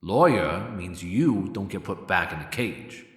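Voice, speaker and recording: A man playing a pragmatic, pushy mercenary company boss in an audio drama, with a husky voice and a brusque, businesslike manner. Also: deep voice, animated, unhurried, fairly bright tone, exaggerated voice. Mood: confident, persuasive. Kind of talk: cinematic audio roleplay acting.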